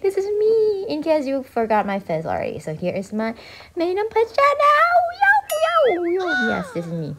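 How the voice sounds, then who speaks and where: A woman's voice: very high-pitched, wildly swooping pitch, moderate pace, very noisy. A young woman from Thailand with a Thai accent, chatting casually through a travel vlog. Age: young adult. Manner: chatty, upbeat, with frequent "alright" and "you know". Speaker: vlogger. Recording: voice-over with loud background music.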